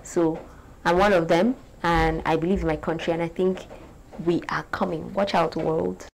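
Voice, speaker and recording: A young woman from Nigeria with a Nigerian accent, talking to the camera in a casual, animated vlog from a small bright room. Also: fairly low pitch, moderate pace, vocal fry, a little hiss or hum, rough recording. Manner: animated, chatty, gestures a lot. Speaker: beauty vlogger.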